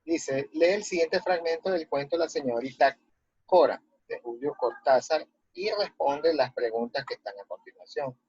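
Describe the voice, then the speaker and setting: A man with a Latin American Spanish accent and a husky voice, giving a didactic literature lesson as a teacher and reading texts aloud. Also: on the high side, lively intonation, normal speed, a little mellow, no echo. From Ecuador. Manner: didactic, checking with rhetorical questions. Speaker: high school language and literature teacher. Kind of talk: online lesson, explaining and reading a text aloud. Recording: muffled lo-fi audio, very dry.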